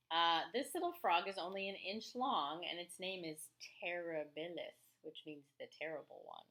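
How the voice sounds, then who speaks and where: A woman, an elementary teacher reading aloud to children with expressive, chatty asides. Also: fairly low pitch. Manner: expressive, chatty, exclaims to young listeners. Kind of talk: read-aloud lesson for children with casual asides.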